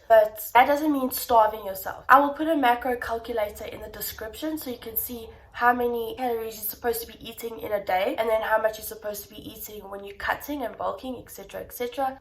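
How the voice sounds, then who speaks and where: Young woman vlogger, somewhat high voice, stuffy and nasal, casual and upbeat with viewers. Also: rough recording. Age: young adult. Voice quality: slightly blocked-up nasal voice. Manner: chatty and encouraging, addresses viewers directly. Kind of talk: casual talk to camera.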